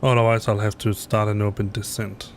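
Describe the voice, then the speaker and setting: A man's voice: fairly low pitch, little pitch movement, a little fast, slight background noise. A middle-aged Danish man with a Danish accent chatting on a live stream while flying a simulator, rambling and correcting himself with many fillers. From Denmark. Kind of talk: casual live-stream chat. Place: home basement room.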